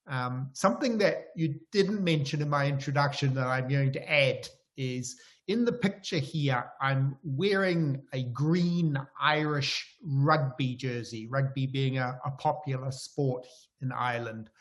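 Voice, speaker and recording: Man, middle-aged or older, New Zealand accent, medium pitch, professorial, conversational lecture style. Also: measured pace. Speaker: professor, invited keynote speaker.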